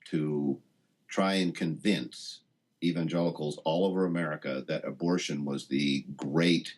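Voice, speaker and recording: A man, a professor being interviewed, explaining things conversationally and haltingly as he thinks aloud. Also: very deep voice, husky. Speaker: interview guest, professor of science and religion.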